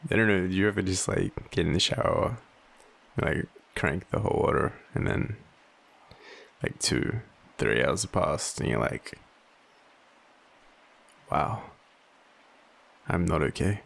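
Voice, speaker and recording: An Australian man playing a vulnerable, devoted young partner in an intimate audio roleplay, hesitant and halting, with a husky voice. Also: low voice, animated, exaggerated voice. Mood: sheepish, vulnerable. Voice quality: husky, gravelly. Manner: hesitant, halting, soft-spoken confession.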